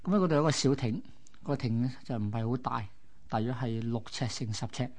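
Mid-pitched Hong Kong man telling stories. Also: brisk, a little mellow. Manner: explanatory, colloquial, piecemeal phrasing. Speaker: radio co-host. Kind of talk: conversational storytelling.

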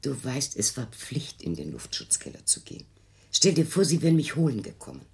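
An elderly mother in a radio drama, her very low voice husky and frayed. She is anxious and worn out, placating and protesting, and her pitch swings widely as she pleads. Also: huge pitch swings, a little fast, full character voice. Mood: agitated, defensive. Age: older. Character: anxious, weary elderly mother soothing her troubled daughter. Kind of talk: radio play acting.

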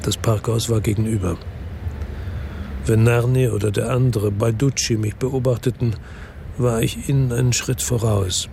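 A man's voice: steady tone, moderate pace, warm tone, a little hiss or hum, close to an everyday voice. An actor playing a weary hard-boiled investigator who narrates his own story in a radio drama, terse and matter-of-fact. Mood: measured, tense. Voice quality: low, gravelly, husky. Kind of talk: scripted first-person radio drama narration. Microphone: close dry studio microphone.

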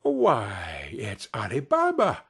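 A man voicing an excitable old man in a storybook, nostalgic and boyish, exclaiming between laughter and tears. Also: on the high side, slow pace, creaky, slightly rough, pitched above the performer's own voice, exaggerated voice. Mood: ecstatic. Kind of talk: dramatized storybook character voice.

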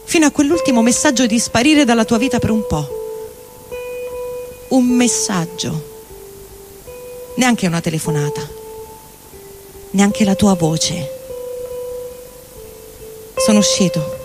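A man's voice: high voice, slow pace, pauses often. A man acting a tormented middle-aged lover in an anguished, literary theatrical monologue. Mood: bitter, anguished. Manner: anguished, dramatic, literary delivery. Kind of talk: theatrical literary monologue reading over music. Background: electric guitar and loops.

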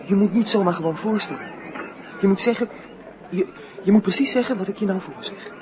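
A high-pitched, earnest teenage boy played by a man in a radio drama. He is lovestruck and nervous, hesitating and then insisting on every detail. Clear ambient noise surrounds the voice. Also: brisk, close to an everyday voice. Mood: anxious, insistent. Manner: insistent, hesitant, repeats instructions.

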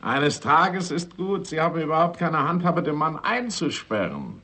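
A legalistic male government minister in 1960s radio drama style. His voice sits slightly high and is bright and sharp, with hugely varied intonation. He argues precisely, sternly and formally. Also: big pitch swings, normal speed, heavily acted. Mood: indignant.

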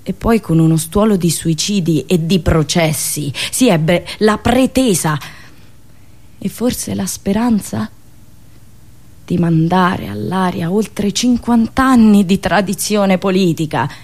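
A woman performing a satirical storytelling monologue, dramatic and ironic, with pauses and drawn-out words. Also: mid-range voice, a little mellow. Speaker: radio host and monologist.

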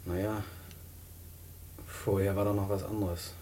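An actor playing an earnest, hard-up young student in prison in a radio drama, hesitant, polite and naive. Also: male voice, lowish voice, flat delivery, a little slow, warm tone, a little hiss or hum, lightly acted. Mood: sheepish. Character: earnest, hard-up nineteen-year-old male student in prison. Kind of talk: post-war German radio play dialogue.

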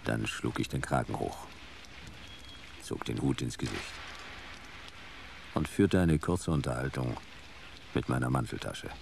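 A man playing a hardboiled private detective, narrating his case in a laconic, deadpan way in a radio play. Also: extremely low pitch, unhurried, gravelly, pauses often, background noise, full character voice. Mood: dry.

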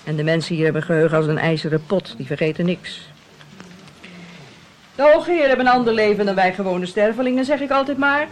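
A man's voice: sits high, animated, a little fast. A man playing a gossipy, pious country innkeeper in a radio play, with a folksy, insinuating way of talking. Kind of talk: radio drama acting. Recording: radio play with clear background noise.

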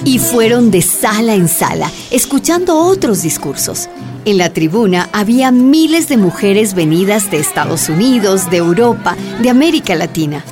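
A female narrator delivers scripted storytelling for a radio play. Her pitch is average, and music plays clearly behind her. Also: sing-song, normal speed.

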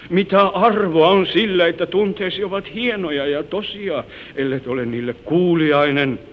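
An older man acting a gentle, wistful grandfather who tells his life story and moralizes to his granddaughter in an old-time radio drama. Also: high voice, lightly acted. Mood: earnest, preaching. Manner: tender, wistful, moralizing, slightly solemn. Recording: tinny, noisy old radio broadcast recording.

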